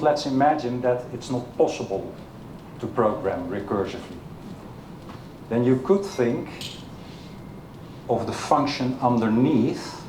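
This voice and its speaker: A man with a Dutch accent giving a technical conference talk, halting with many filler sounds and questions to the audience. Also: a little mellow. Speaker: conference speaker. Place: conference room. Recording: echoey hall with low rumble.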